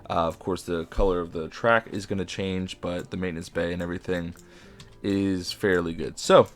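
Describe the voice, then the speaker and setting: A young man with a sore throat and a raspy voice, chatting casually as he builds in a game. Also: low-pitched, steady tone, moderate pace. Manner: chatty, enthusiastic, frequent fillers. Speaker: game video creator. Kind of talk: casual commentary while building.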